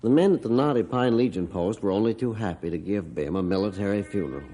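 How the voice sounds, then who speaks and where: A man's voice: fairly low pitch, fast, dark tone, lightly acted. An actor in a 1950s radio drama playing a grieving middle-aged father telling how his dog died, earnest and heartfelt. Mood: somber.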